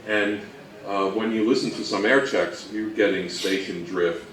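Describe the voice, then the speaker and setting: Low-voiced man presenting in an echoey room. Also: steady tone, measured pace, a bit bright. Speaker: presenter at an old-time radio convention talk. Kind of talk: informal live presentation to an audience. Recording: roomy, distant-miked live talk.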